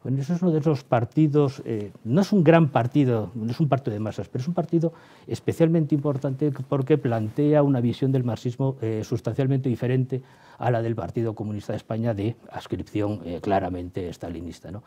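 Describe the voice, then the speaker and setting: Mellow-voiced Spanish man, halting academic explainer. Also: medium pitch. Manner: halting, searching for words, seeking agreement with tag questions. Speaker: history professor, interviewed guest. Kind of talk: explanatory historical commentary in an interview.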